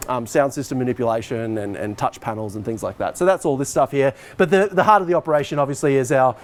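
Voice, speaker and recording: A man's voice: medium pitch, quick pace, slight background noise. A man in his forties giving an informal, explanatory walkthrough as a teacher, talking conversationally as he moves about. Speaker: teacher, head of department giving a technical tour. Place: school hall stage and backstage, indoors.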